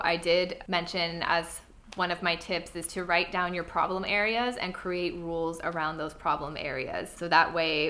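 A woman's voice: lowish voice, bright, forceful tone. A young woman talking to camera at home in an earnest, chatty way, a little congested from a cold. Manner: earnest, chatty, lots of fillers. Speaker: style vlogger. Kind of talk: casual talk to camera. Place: home room with clothing rack.

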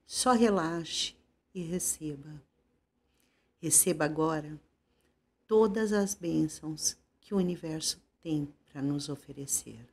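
A Brazilian woman leading a guided meditation, speaking affirmations in a soothing, repetitive way with pauses. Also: deep voice, husky. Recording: loud wash of surf-like ambient noise.

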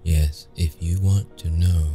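Guy playing a hurt vampire in an asmr roleplay, really low and slow, halting, guarded and seductive. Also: steady tone, over music. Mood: coaxing, intimate. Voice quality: gravelly, low, mellow. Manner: slow, halting, intimate delivery. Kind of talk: ASMR audio roleplay acting.

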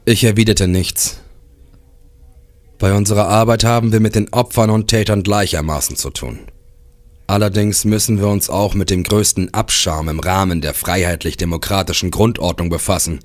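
An actor playing a grim police investigator who narrates his case in a crime audio drama, grave and measured. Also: low-pitched, steady tone, dry recording, close to an everyday voice.

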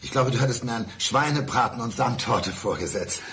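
An actor in a German radio crime drama playing a lonely, bitter blind divorced man who speaks in a probing, deliberate way. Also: medium pitch, vocal fry, a bit bright, a little hiss or hum. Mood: wistful, reproachful.

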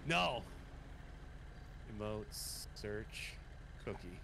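A man chatting excitedly with friends during a game and laughing a lot, over a noisy voice chat mic. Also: fairly low pitch, drawn-out words, vocal fry. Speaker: gaming friend.

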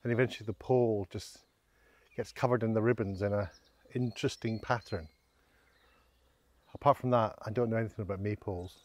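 A man's voice: lowish voice, steady tone, brisk, pauses often, a little mellow. Middle-aged man, wry casual walking commentary. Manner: self-deprecating, wry, hesitant asides. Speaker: vlogger, walking guide. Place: outdoors on village streets and country lanes. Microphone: handheld camera at arm's length.

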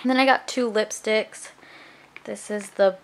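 A woman's voice: mid-range voice, steady tone, measured pace, slight background noise, low-quality recording. A young woman in her twenties chatting casually to a handheld camera, upbeat and filling her talk with "like". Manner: chatty, upbeat, says "like" a lot. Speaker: vlogger. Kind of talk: casual vlog chat to camera. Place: home, car and gym.

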